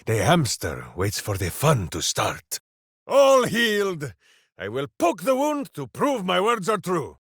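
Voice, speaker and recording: A huge, righteous, simple-hearted warrior from a fantasy video game. His delivery is slow and bombastic, with enormous swings in pitch. He declaims quaint phrases boisterously, in a very dry, close recording. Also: huge pitch swings, a bit bright, breathy edge, no room sound, heavily acted. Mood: earnest, hearty. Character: big brawny good-hearted warrior, boisterous, simple, righteous, devoted to hamster. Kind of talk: video game character voice lines.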